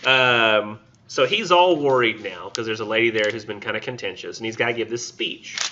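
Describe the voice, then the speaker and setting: A man lecturing a college literature class in a relaxed, chatty way. His voice is bright and forceful, and he slips into the characters' lines as he retells the story. The recording from the classroom sounds a little dull and muffled. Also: fairly low pitch, normal speed, a little hiss or hum. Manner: casual and conversational, acts out characters in quotes.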